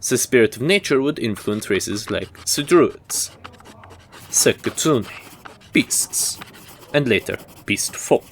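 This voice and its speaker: Guy playing a fantasy history professor, really slow and deliberate, formal lecture, noisy ambience behind him. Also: medium pitch, lively intonation. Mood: lecturing, measured. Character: measured, scholarly male university professor in a fantasy world. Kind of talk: scripted audio roleplay lecture.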